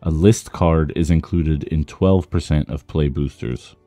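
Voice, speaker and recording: Male card-game creator, very low mellow voice with a touch of roughness, casual unboxing commentary, music underneath. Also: measured pace, no echo. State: slightly rough voice from talking a lot. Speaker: trading card content creator.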